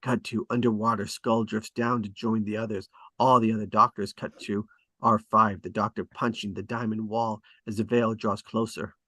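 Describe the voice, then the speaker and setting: A man reads stage directions for a drama table read. His voice sits fairly low, and the sound is very dry, with hardly any room around it. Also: level tone, normal speed, sharp tone, no room sound. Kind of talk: scripted narration at a table read.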